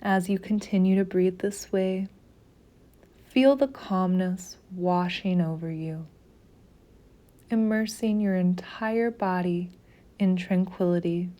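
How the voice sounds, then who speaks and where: Female meditation guide: soothing, mellow tone, very slow measured delivery with long pauses. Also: medium pitch.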